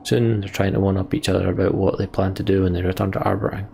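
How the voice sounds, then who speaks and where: A man's voice: low voice, level tone, quick pace, vocal fry, a little mellow, slight background noise. A man reading story text aloud from a game and adding his own commentary as a let's player.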